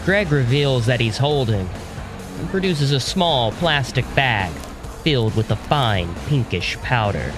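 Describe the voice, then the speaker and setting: A man's voice: mid-range voice, lively intonation, measured pace. A man narrating an audio drama in a scripted storytelling style. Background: music.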